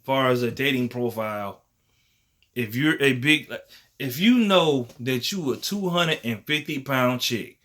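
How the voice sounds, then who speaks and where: A thirty-year-old man with a bright, forceful tone and an ordinary middle pitch. He rambles casually to the camera, swearing freely, using slang and leaning on "you know what I'm saying.". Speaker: vlogger.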